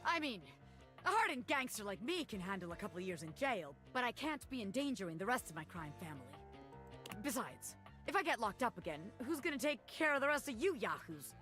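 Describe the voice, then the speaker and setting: A woman's voice: fairly high pitch, big pitch swings, fairly quick, fairly bright tone, soft background music. A woman playing a cocky, streetwise small-time gangster pin in a video game, talking in brash wiseguy slang. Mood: cocky, resigned. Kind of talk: video game character acting.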